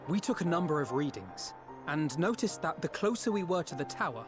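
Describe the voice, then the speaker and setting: A man's voice: fairly high pitch, big pitch swings, brisk, music in the background. An actor playing a studious, analytical male researcher in a video game cutscene. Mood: analytical, serious.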